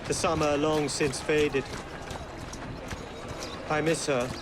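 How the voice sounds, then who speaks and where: A man playing a gentle, dignified exiled prince from India, speaking in a solemn, measured way in a video game. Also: on the high side, flat delivery, background noise. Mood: wistful. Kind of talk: cinematic video game acting.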